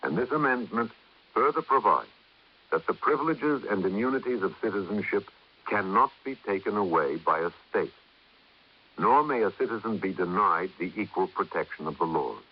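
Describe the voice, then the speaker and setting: Low-voiced, solemn male radio announcer. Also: lively intonation. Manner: measured, declamatory. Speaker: announcer of a public service message. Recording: muffled, faintly hissy vintage radio recording.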